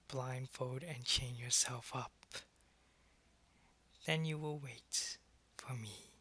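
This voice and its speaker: A man playing a suave, possessive, centuries-old vampire in an ASMR roleplay, taunting and condescending, often in a breathy half-whisper. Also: mid-range voice, sharp tone, heavily acted. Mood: commanding, calm. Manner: slow, deliberate, taunting, condescending.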